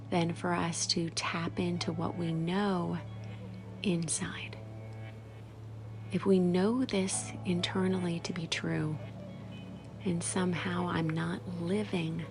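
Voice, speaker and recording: A woman guides a meditation in a very slow, lowish voice. She speaks softly in short phrases with long pauses, letting the endings go whispery and creaky. Music plays clearly behind her. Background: background music.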